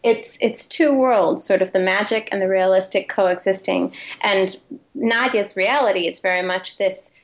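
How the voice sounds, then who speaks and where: A woman's voice: medium pitch, dull audio. A woman author who grew up in the United States speaking Ukrainian first, giving a thoughtful interview with frequent restarts. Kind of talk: interview guest.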